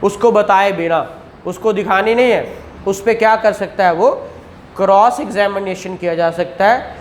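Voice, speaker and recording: A man giving a classroom law lecture, explaining in a conversational tone, reading legal text slowly, and acting out courtroom questions. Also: sits high, a little hiss or hum. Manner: explains in Urdu, reads English statute text slowly aloud; acts out courtroom exchanges.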